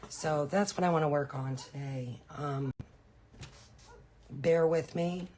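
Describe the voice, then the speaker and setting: A woman with a raspy, hoarse voice from a cold, hosting a casual live painting tutorial and chatting with viewers. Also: deep voice, slow pace, a little mellow, a little hiss or hum. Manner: chatty, greets viewers by name.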